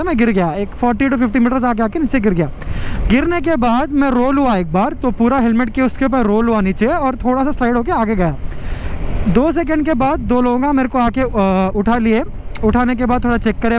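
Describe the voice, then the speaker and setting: A man's voice: very high-pitched, rough recording. A young man from India with a Hyderabadi accent, casually vlogging with emphatic, repeated phrases. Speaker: motovlogger. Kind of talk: casual vlog narration. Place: outdoors on a highway roadside and riding a motorbike. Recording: outdoor roadside and road noise.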